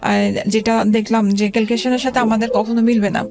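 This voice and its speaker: A woman in her forties telling her life story as an interview guest, halting and hesitant, with many fillers and restarts. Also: on the high side, fairly even intonation, a crowd in the background.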